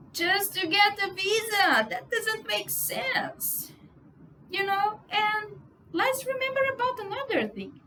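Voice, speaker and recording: A woman's voice: high voice, fairly bright tone, slight background noise, low-quality recording. A young woman from Brazil whose first language is Portuguese talks casually to camera in English with a Brazilian accent, outspoken and swearing for emphasis, with many "you know"s. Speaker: vlogger.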